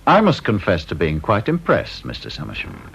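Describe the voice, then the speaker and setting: An actor playing a sardonic, talkative senior security interviewer, British, with a dry, teasing, mock-polite manner in radio drama style. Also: male voice, deep voice, wildly swooping pitch, a little fast, creaky, fairly bright tone, slight background noise, heavily acted. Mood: smooth, authoritative. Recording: dull, muffled old radio recording.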